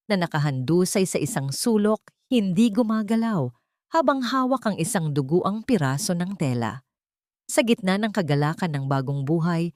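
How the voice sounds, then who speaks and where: A woman's voice: on the low side, no echo, studio quality. A woman with a Filipino accent reads a scripted dramatic audio story as the storyteller.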